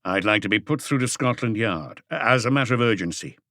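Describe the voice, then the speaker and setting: A man voicing a calm, authoritative chief police detective, terse and measured, in an audiobook. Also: fairly low pitch, no room sound, studio quality, pitched below the performer's own voice.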